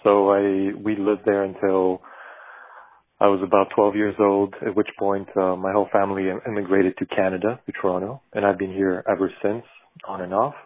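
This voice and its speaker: A man's voice: low-pitched, flat delivery, normal speed. A man from Bulgaria, a podcast guest who works in animation, talks in a thoughtful, searching way with many restarts. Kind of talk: reflective conversational interview. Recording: tinny phone-like remote call.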